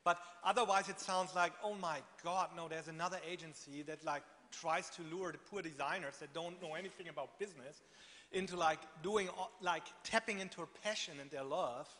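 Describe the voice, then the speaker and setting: A man moderating a conference Q&A, talking in an animated, chatty way full of fillers and laughs. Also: a little high, a little fast. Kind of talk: live Q&A moderation. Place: conference hall. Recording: reverberant conference hall.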